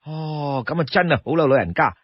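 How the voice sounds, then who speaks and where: A man's voice: fairly high pitch, lively intonation, brisk, breathy edge, no echo. A man voicing a courteous, inquisitive traveling trader in an audiobook story. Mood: polite, curious. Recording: dull, lo-fi, dry studio sound.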